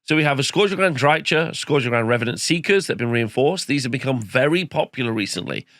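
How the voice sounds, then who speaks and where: A man hosting a casual rundown of game results, his voice croaky as it comes back, rambling with fillers. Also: mid-range voice, lively intonation, fast talker, a bit bright, no echo, studio quality. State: croaky, recovering lost voice. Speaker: host. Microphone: home studio microphone.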